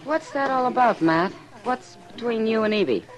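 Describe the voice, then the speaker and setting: A woman's voice: a little high, lively intonation, normal speed, background noise, natural voice. An American woman acting a worldly, frank saloon woman in an old-time radio western, with a direct, knowing manner. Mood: concerned. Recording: old radio drama with music behind.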